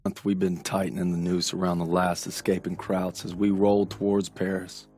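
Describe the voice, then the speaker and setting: An actor playing a tough, folksy American army sergeant narrating war game briefings, with an American accent and a gruff, commanding manner. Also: male voice, low-pitched, completely flat delivery, moderate pace, darkish tone, a little hiss or hum, close to an everyday voice. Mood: resolute, urgent.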